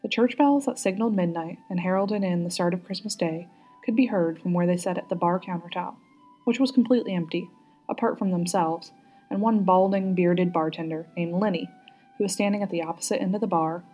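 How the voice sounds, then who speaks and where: Mellow, husky woman reading a story aloud. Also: on the low side, brisk, soft background music. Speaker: guest reader, public school teacher. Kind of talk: read-aloud storybook narration.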